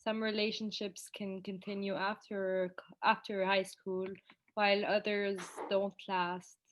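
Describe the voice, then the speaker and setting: A teenage girl giving her opinion in a measured, slightly hesitant way as a panelist on a video call. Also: medium pitch, flat delivery, poor audio. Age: teens. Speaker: student panelist.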